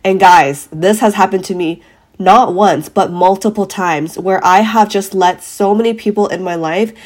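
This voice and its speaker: A woman hosting a podcast, her voice a bit raspy from a cold coming on, giving an earnest, emphatic motivational monologue to her listeners. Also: mid-range voice, a little mellow. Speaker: podcast host.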